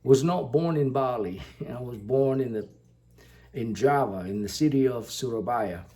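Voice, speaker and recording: A man from Indonesia, a native Indonesian speaker, talking slowly to the camera in a casual vlog, pausing often with fillers. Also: mid-range voice, mellow. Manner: slow, halting, many fillers. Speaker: vlogger.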